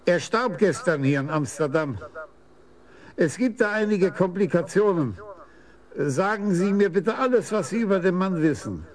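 Police commissioner for radio crime drama: reserved, terse, measured, somewhat higher male register. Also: lively intonation. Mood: sober, probing.

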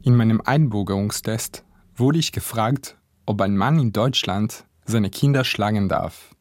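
A man's voice: on the low side, measured pace, no echo. Dry, close-sounding male essay narrator from Israel. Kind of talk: scripted first-person essay narration. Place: dry studio.

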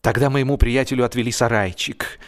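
A man performing a humorous literary narration in an expressive, mock-serious manner with dramatic pauses. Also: mid-range voice.

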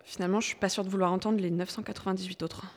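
A woman playing a teasing young resistance fighter in an audio drama, brisk in manner. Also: mid-range voice, steady tone, lightly acted.

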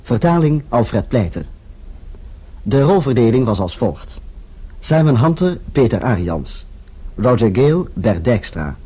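A man's voice: mid-range voice, sing-song, measured pace, dark tone, slightly rough. A man reading scripted closing credits as a radio drama announcer.

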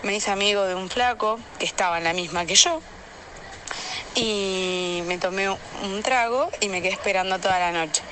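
A woman's voice: medium pitch, normal speed, sharp tone. Frank Argentine woman in a slightly noisy voice message. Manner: frank, slangy. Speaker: listener sending an audio message. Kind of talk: casual personal anecdote. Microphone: phone voice message. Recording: voice message with faint background noise.

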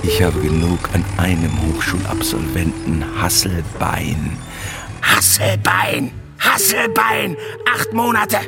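Radio drama site boss: low, creaky, exasperated, emphatic delivery. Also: male voice, big pitch swings, slow pace, exaggerated voice. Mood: exasperated, shouting. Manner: emphatic, sardonic, swinging from mutter to outburst. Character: gruff, exasperated male construction site boss. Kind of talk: radio play acting over music. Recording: loud music behind the voice.

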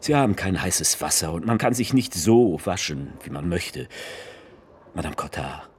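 An actor playing a fussy, chattering society gentleman in a theatrical radio drama, exclaiming and confiding as he goes. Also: low-pitched, expressive, normal speed, slight background noise. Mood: confiding, eager. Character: fussy, chattering male society guest, new to the resort.

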